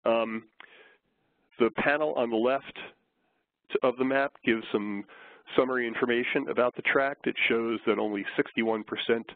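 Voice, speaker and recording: A man getting over a flu, slightly hoarse, giving a measured, explanatory webinar presentation with frequent fillers. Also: mid-range voice, fairly even intonation, no room sound. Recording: tinny phone line.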